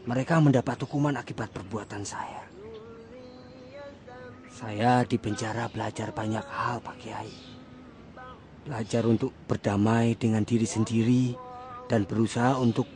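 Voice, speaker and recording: A quiet, remorseful reformed man who speaks humbly and respectfully, as an actor plays him. His voice sits at an ordinary middle pitch and stays soft-spoken throughout. It is a radio drama performance. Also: fairly even intonation, normal speed, long pauses, natural voice. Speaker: villager returned from prison.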